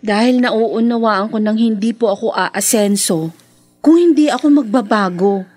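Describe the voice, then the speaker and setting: A woman's voice: fairly high pitch, moderate pace, a little mellow, lightly acted. An actress playing an evasive, sweet-talking young woman in a Filipino radio drama, with a Filipino accent. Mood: defensive, evasive.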